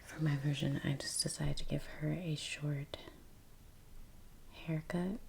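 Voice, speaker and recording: A woman speaking in a soft, breathy near-whisper as the host of a relaxing ASMR painting channel. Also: sits low, steady tone, unhurried, a little hiss or hum. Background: faint ambience, brush sounds.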